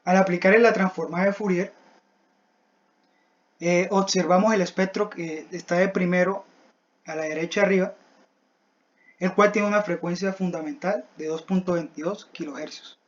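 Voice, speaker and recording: A young adult student giving a scripted technical presentation in a halting, didactic, step-by-step manner. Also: mid-range voice, fairly even intonation. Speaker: student presenting a class project. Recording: dull, lo-fi voice recording.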